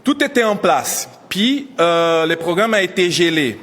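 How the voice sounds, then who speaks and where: A man's voice: high voice, very animated, measured pace, fairly bright tone, big-room sound. A man in his thirties, not a native French speaker, giving emotional public testimony, pleading and repeating questions. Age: 30s. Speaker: immigrant worker testifying.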